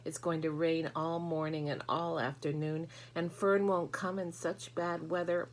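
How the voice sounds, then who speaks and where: A woman's voice: low-pitched, flat delivery, a little slow, voice pushed up. A woman voicing a lonely, earnest young male pig in a storybook, with a pleading manner. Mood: lonely, gloomy. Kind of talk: storybook character voice.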